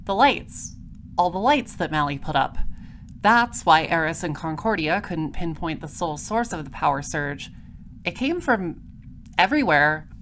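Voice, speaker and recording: A woman playing a dry, inquisitive space detective in an audio drama, speaking formally and deliberately. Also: sits low, expressive, fairly bright tone, a little hiss or hum. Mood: explaining. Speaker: voice actor. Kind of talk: audio drama dialogue and first-person noir narration.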